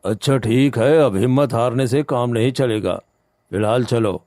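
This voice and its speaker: A man's voice: mid-range voice, moderate pace, darkish tone, lightly acted. A man playing a loyal, practical village friend who reasons persuasively in a cartoon story. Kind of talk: Hindi animated moral story dialogue.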